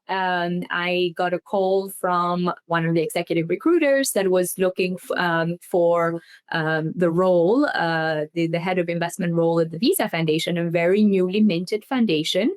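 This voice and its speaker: A woman from Albania, a podcast guest, answers questions thoughtfully with frequent pauses and ums. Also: fairly low pitch, clear, resonant tone. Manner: thoughtful, many ums and self-corrections. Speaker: guest, head of investments at a foundation. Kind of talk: interview answers.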